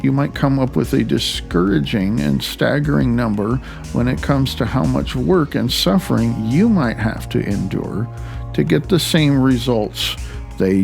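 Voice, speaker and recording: A man from the United States reading his own self-help audiobook in a wry, deliberate way with pauses. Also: medium pitch, gravelly. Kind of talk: self-help audiobook narration with personal commentary. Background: faint music.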